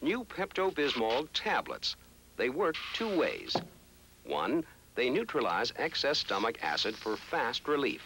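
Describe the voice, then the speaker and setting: A man's voice: mid-range voice, wildly swooping pitch, measured pace, sharp tone, a little hiss or hum, poor audio. A man reading a scripted 1960s television commercial as its announcer, on old broadcast audio.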